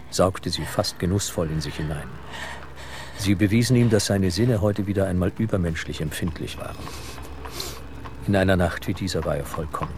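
A man narrating an audio drama in a measured, suspenseful, literary style. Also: low voice, background noise.

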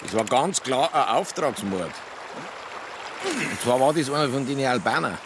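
Grumpy Bavarian cop, creaky voice, very varied intonation, blunt, grumbling, radio play. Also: male voice, medium pitch, lively intonation, measured pace, background noise. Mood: dismissive, grumbling. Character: grumpy, reluctant Bavarian provincial police detective. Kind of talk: naturalistic radio drama acting.

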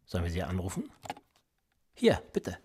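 An actor playing a police interrogator in a radio play, sardonic and menacing, needling with theatrical rhetorical questions. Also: male voice, low-pitched, huge pitch swings, normal speed, noisy background. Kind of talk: naturalistic German radio play acting.

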